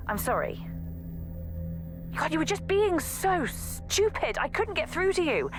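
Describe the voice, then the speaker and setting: A woman's voice: medium pitch, close to an everyday voice. An actress playing an exasperated, protective young woman assassin in a video game audio log, scolding and pleading emphatically. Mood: apologetic, frustrated.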